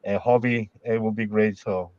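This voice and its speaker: Male podcast host, halting speech, repeats words, teasing, slightly muffled, dry sound. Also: fairly low pitch, steady tone, no echo. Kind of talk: casual podcast interview.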